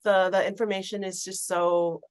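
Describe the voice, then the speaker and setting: A woman from Thailand hosting a podcast, talking in a relaxed conversational way. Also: mid-range voice, normal speed, clean tone. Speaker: podcast host. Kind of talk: casual podcast interview.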